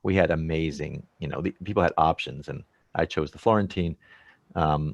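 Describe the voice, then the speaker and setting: A man's voice: very deep voice, vocal fry, dry recording, dull audio. A man hosting a casual interview, talking in a rambling, enthusiastic, salesy way. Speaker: host.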